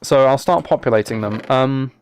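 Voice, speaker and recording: Guy livestreaming a game, lots of vocal fry, mid pitch, just chatting to viewers and thinking out loud. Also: moderate pace. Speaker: live streamer and host. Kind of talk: casual live stream chat while playing a game.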